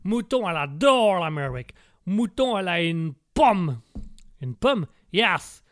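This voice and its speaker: A man's voice: high voice, big pitch swings, no echo, clean studio sound. A man voicing a brash, arrogant American man who talks with a put-on American accent and drops English words into his speech. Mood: cocky. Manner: swaggering, slow drawl, drops English words.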